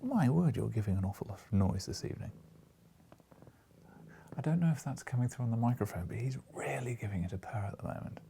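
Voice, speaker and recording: A British man with a husky, gravelly voice, reading an audiobook and speaking informally in a gentle, unhurried manner. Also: medium pitch, animated, slight background noise. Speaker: audiobook reader and host. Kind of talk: audiobook narration with informal asides.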